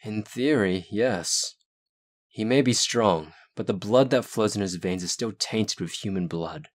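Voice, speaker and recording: A man voicing a serious, determined young werewolf in an amateur roleplay, earnest and steady. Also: lowish voice, measured pace.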